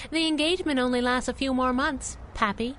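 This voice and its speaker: An actress playing a sassy, flirtatious, wisecracking masked heroine in a 1930s radio adventure drama, full of teasing banter. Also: female voice, sits high, brisk, a bit bright. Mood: teasing, flirty. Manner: playful teasing banter with period slang. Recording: clear background noise, outdoor ambience effects.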